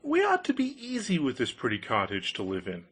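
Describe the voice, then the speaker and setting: A man's voice: mid-range voice, wildly swooping pitch, brisk, a bit bright, husky, exaggerated voice. Man acting a meek, doleful fisherman. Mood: reluctant. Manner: doleful, hesitant. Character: meek, reluctant, humble poor fisherman husband.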